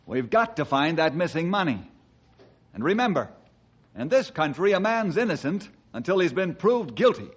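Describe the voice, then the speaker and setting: A man playing a steadfast, fair-minded ranger in an old-time radio western, speaking earnestly and deliberately. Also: a little high, very animated. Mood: resolute.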